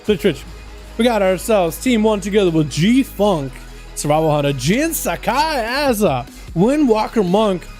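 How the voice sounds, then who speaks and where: High-voiced casual game commentator. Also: male voice, expressive, a little slow, darkish tone, faint music behind. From Russia. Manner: chatty, calls out to chat as "boys". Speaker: livestream host and duel caster.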